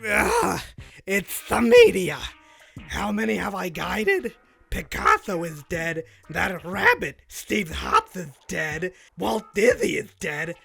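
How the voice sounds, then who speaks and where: A washed-up, bitter little fairyland guide, with a gravelly, bright voice that swings wildly up and down. He gripes and whines with theatrical self-pity in a comic dub. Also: high-pitched, wildly swooping pitch, slow pace, soft background music, exaggerated voice. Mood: bitter rant. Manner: foul-tempered, whiny, dramatic griping.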